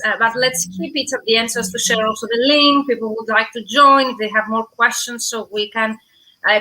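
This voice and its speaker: A woman's voice: on the high side, pushed and bright, poor audio. A woman hosting a live stream over a video call, greeting viewers by name and asking her guest questions, with frequent fillers. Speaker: host.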